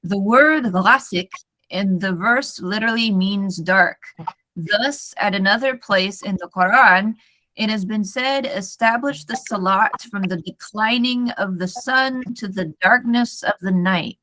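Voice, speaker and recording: A woman's voice: medium pitch, measured pace, pushed and bright, poor audio. An American woman tutoring English in a casual online lesson, friendly and self-correcting as she reads text aloud carefully. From United States.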